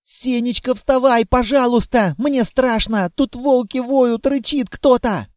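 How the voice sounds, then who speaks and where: A man voicing a chatty little girl, a child of darkness, who pleads and chatters without pause. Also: very high-pitched, a little mellow, no room sound, clean studio sound, voice pushed up. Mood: frightened pleading.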